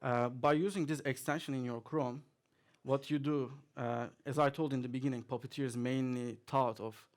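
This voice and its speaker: A man talks through a tech demo at a conference, at an everyday middle pitch. He is relaxed and chatty, leaning on fillers and chuckling now and then as he goes. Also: normal speed, hardly any pauses. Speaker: conference speaker. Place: conference hall, audience present.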